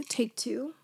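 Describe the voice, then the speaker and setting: A young woman in a soft, often whispered ASMR girlfriend roleplay, drowsy and close to the mic, her voice worn down as she loses it to a cold. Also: high-pitched, vocal fry, husky. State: losing her voice, congested.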